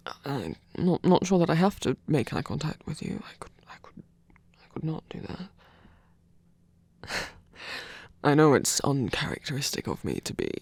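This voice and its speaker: A man playing a shy, insecure frost giant trickster god in an intimate ASMR roleplay, breathy and halting, close to the microphone. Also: a little high, wildly swooping pitch, darkish tone, dry recording, exaggerated voice. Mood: shy, vulnerable. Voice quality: breathy, whispery. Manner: hesitant, halting, stammering. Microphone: close microphone, dry.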